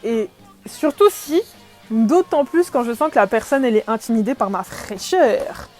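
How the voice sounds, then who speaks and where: A young woman from France talks in a relaxed podcast-style vlog, her voice sitting a little high yet soft and mellow. She rambles with fillers and small self-mocking laughs. Faint music plays underneath. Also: moderate pace. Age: young adult. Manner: chatty and self-deprecating, lots of fillers and laughs. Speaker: vlogger. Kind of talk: casual podcast-style chat.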